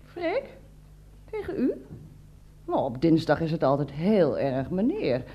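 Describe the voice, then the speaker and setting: A woman's voice: low voice, wildly swooping pitch, a little slow, lo-fi sound, slight background noise, exaggerated voice. A woman playing a prim, deferential housekeeper in a radio play, with folksy tag questions.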